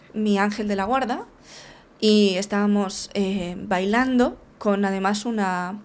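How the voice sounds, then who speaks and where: A woman tells her story in a frank, unguarded way. She sits as a therapist and interview guest. She restarts her phrases often and keeps checking in with little tag questions. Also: medium pitch.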